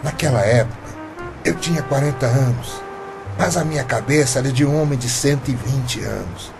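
A man's voice: medium pitch, moderate pace, vocal fry, over music, close to an everyday voice. An actor with a Brazilian accent playing an earnest, stubborn, lovestruck eighty-year-old man in a radio drama. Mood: earnest, resolute.

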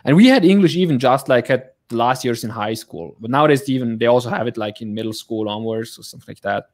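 A man's voice: mid-range voice, fast talker. German accented guy in his 30s, guest on a video call, says "like" a lot. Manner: lots of fillers like "like", searching for words. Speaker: guest software developer. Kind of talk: casual interview chat.

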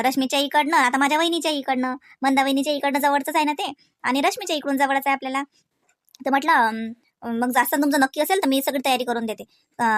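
A woman's voice: high voice, no room sound, studio quality, close to an everyday voice. A woman voicing a chatty, homely wife and mother in a cartoon, speaking. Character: chatty homemaker wife and mother, fond, mildly grumbling. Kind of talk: conversational cartoon dialogue.

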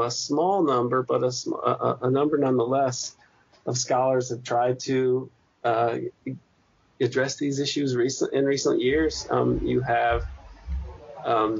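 A man answering interview questions at length in a thoughtful, halting academic way, with frequent restarts and fillers. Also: medium pitch, vocal fry, husky. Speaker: interview guest, PhD candidate. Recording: dull lo-fi podcast audio.

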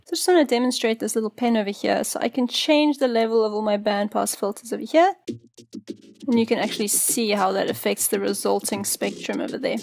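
A woman narrating an instructional software tutorial as its presenter, explaining things in a casual way into a close mic. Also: medium pitch, fairly quick, creaky, over music.

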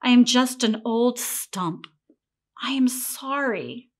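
A woman reader plays a gentle female tree from a storybook. She coaxes and pleads tenderly, eager to please, with a high, very lively melody and a slow, giving delivery. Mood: sorry, tender. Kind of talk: storybook character reading.

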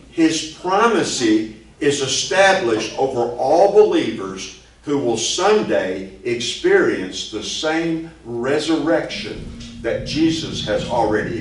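An older man teaching a sermon in earnest, deliberate fashion, speaking into a stand microphone in a church meeting room. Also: a little high. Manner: slow, earnest Bible teaching with gestures. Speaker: pastor. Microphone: stand mic, filmed from a distance. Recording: very echoey room, distant mic, noise.

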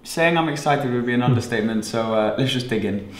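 A young man hosting a casual vlog, chatty and upbeat in a bright living room. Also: mid-range voice, fast. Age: young adult. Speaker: vlogger host. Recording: echoey room.

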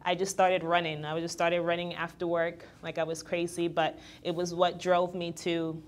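A woman's voice: on the low side, normal speed. A woman in her twenties from Guyana, a fitness trainer and entrepreneur, talking candidly as a guest in a casual interview and laughing easily. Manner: candid, laughs easily, quotes others in lively voices.

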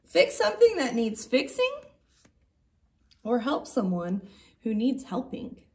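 Female teacher in her thirties for picture-book reading; very animated melody, unhurried, expressive emphasis. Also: a little high. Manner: expressive, drawn-out emphasis on key words. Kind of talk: animated children's story read-aloud. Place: indoor classroom.

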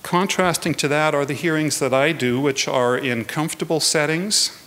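A man gives a prepared public talk in a measured, earnest way. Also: on the high side, a bit bright. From Canada. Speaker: guest speaker, hearing adjudicator. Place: indoors at a lectern in a hall.

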